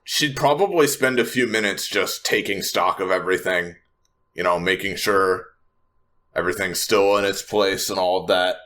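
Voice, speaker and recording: A man's voice: deep voice, normal speed, pushed and bright. A man playing a tabletop roleplaying game, narrating his character's actions in the third person.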